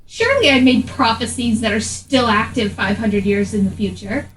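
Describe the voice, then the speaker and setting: A retired seer and storyteller played by a woman, proud and quick to get indignant. Her voice is high and emphatic. She is recorded a bit distant in an echoey room, as part of a tabletop roleplay. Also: a little hiss or hum, lightly acted. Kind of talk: improvised tabletop roleplay in character.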